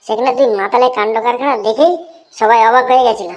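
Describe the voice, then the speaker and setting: A woman's voice: a little high, fairly even intonation, quick pace, dull audio, close to an everyday voice. A woman voicing a chattering, teasing school pupil in a comedy cartoon. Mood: excuse-making.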